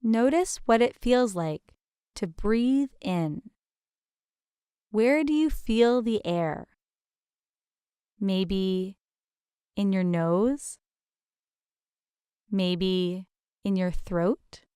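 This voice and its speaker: A woman leads a guided meditation in a gentle, soothing, invitational manner with deliberate pauses. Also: medium pitch, smooth, no echo, clean studio sound.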